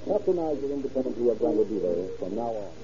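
A man's voice: mid-range voice, sing-song, brisk, dark tone, faint music behind. A man announcing and narrating from a script in the style of 1930s radio. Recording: dull, lo-fi 1930s radio recording.

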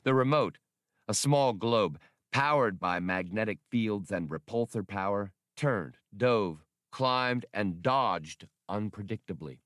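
A man's voice: fairly low pitch, expressive, slow, fairly bright tone, dry recording, studio quality. A man reading a science fiction novel aloud as scripted audiobook narration.

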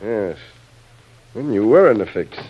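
An actor playing a suspicious island boss in a vintage radio drama, questioning with smooth courtesy and a probing edge. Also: male voice, fairly low pitch, very animated, measured pace, a little hiss or hum. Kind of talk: 1940s radio acting. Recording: muffled vintage radio recording.

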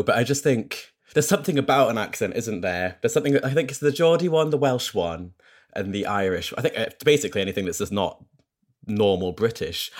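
A young man in his twenties speaks with a faint, posh Scottish lilt that is hard to place. He is playful and self-deprecating, with a lowish voice, and he talks over a video call. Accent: soft posh Scottish. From Scotland. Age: 20s. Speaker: podcast guest. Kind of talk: casual interview chat.

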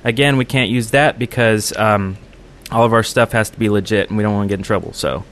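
A man's voice: lowish voice, a little fast, a bit bright, a little hiss or hum. A man hosting a gaming podcast in casual conversation, explaining his ideas in detail. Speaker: podcast host.

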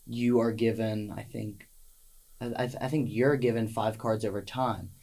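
A young man hosting a casual interview, talking in a relaxed, hesitant way and often repeating words. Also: fairly low pitch, unhurried. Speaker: host. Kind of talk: casual conversation.

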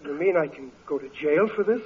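A man's voice: a little high, lively intonation, fairly quick, slightly rough. An actor playing a gullible, easily exasperated middle-aged American working man in a 1940s radio comedy, with slangy, ungrammatical, blustering speech. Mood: indignant. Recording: muffled, noisy vintage radio recording.

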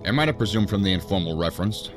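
Stern male assistant director, very low, measured, authoritative, dry, sci-fi audio drama. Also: animated, music in the background. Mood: stern, controlled. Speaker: senior law-enforcement official.

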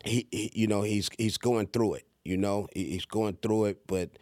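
A man's voice: fairly low pitch, fairly even intonation, moderate pace, no room sound, clean studio sound. A man hosting a casual podcast interview, encouraging his guests and often repeating and restarting his words. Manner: warm-hearted, repeats words and restarts a lot. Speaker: podcast host.

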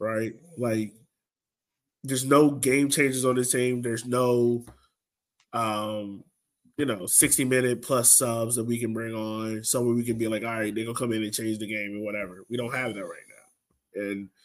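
A man's voice: medium pitch, little pitch movement, moderate pace. A man with an American accent hosting a sports podcast, talking informally and colloquially as a fan. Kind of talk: casual soccer fan discussion.

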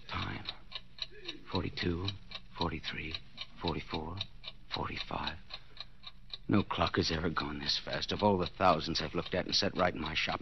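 An actor playing a desperate, jealous husband in a 1940s radio suspense play, halting and intense, repeating his words. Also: low voice, vocal fry, muffled recording. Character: desperate, jealous husband, a clock repairman trapped bound in cellar. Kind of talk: 1940s radio suspense acting, inner monologue. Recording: noisy vintage 1940s radio recording.

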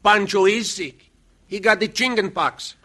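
An actor playing a folksy, self-important Mexican uncle with a husky voice and broken English in a radio western. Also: male voice, high voice, measured pace, bright, forceful tone, full character voice. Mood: folksy, earnest. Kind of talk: 1950s radio western acting.

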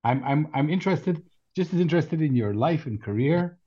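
An older man with a Canadian accent hosting a casual interview, haltingly repeating words as he starts. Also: fairly high pitch, animated, dark tone, husky, lo-fi sound. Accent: Canadian English. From Ottawa, Canada. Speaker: podcast host, interviewer.

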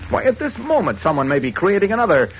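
A man's voice: sits high, very animated, fast talker. An actor playing a worried, persistent man in a 1940s radio drama, speaking with insistent urgency. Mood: worried, urgent. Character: worried, persistent man in his office guise. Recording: muffled, hissy old radio recording.

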